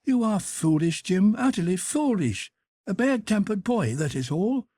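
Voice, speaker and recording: A man's voice: high voice, big pitch swings, a little slow, warm tone, no echo, clean studio sound, pitched above the performer's own voice, full character voice. A man voicing a dreamy, infatuated young woman who pleads lightly with her brother in a storybook reading. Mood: pleading.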